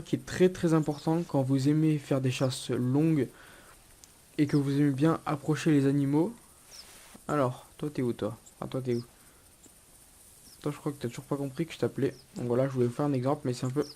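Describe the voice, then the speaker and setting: A man's voice: fairly high pitch, mellow. A young man with a cold, giving a casual gameplay tutorial with many hesitations and restarts. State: has a cold. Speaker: gaming YouTuber.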